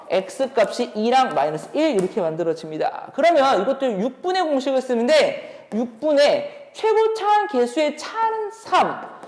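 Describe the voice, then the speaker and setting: A woman's voice: fairly high pitch, a little mellow. A woman teaching as a math instructor, explaining exam solutions step by step and often posing questions as she goes. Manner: instructive, poses questions while working through steps. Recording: echoey room with long reverb.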